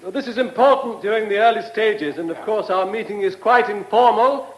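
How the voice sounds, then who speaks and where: High-voiced, officious male bureaucrat played by an actor. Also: natural voice. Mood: officious, halting. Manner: halting, pompous, condescending. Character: officious, patronising, flustered male ministry bureaucrat. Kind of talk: radio play acting. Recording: echoey hall in a radio drama.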